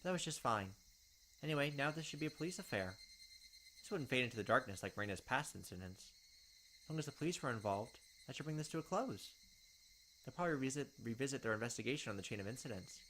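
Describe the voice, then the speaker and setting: A man reading a visual novel's text aloud as a let's play narrator, dramatic, with quick asides of his own. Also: medium pitch, lively intonation, a bit bright, a little hiss or hum, rough recording.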